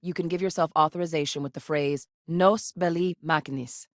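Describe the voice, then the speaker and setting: An actress playing a steady, determined female military scientist in a video game, with a serious, matter-of-fact manner. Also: low voice, brisk, no room sound, clean studio sound, close to an everyday voice. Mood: measured, serious.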